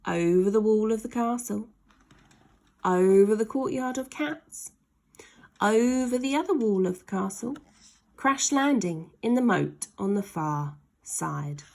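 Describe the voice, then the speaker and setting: A woman's voice: medium pitch, lively intonation, takes it slowly, creaky, darkish tone. A woman reading a children's story aloud expressively, stretching key words for dramatic emphasis.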